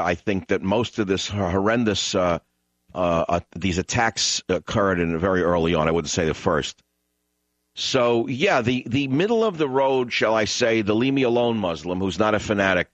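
A man's voice: fairly low pitch, no room sound. A man hosting a call-in radio talk show, emphatic and combative, repeating lines for effect. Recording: dull radio broadcast sound.

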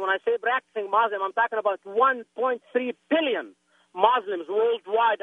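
A man's voice: very high-pitched, dry recording. A man from Palestine, calling in to a radio show, earnest and insistent, often repeating himself. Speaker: caller. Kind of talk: phone-in call. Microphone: telephone. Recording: tinny phone line.

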